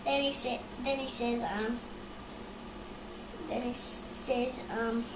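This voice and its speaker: A child reading a picture book aloud and retelling it in a halting way. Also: medium pitch, fairly even intonation. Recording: echoey, noisy room recording.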